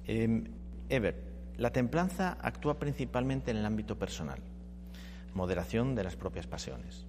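A man's voice: fairly low pitch, normal speed. Male panel host in a reverberant room. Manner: conversational, poses questions to guests. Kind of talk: moderating a discussion panel. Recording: reverberant room with steady hum.

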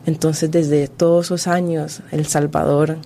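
A Colombian-born woman, a historian and author, answering interview questions in an earnest, measured way with frequent restarts. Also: sits low, little pitch movement, gravelly, warm tone, slight background noise.

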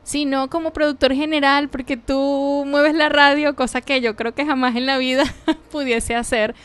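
A woman's voice: high-pitched, flat delivery, normal speed. A Venezuelan woman hosting a live radio show, interviewing a guest in an enthusiastic way. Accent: Venezuelan Spanish. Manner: warm, enthusiastic, laughing interviewer.

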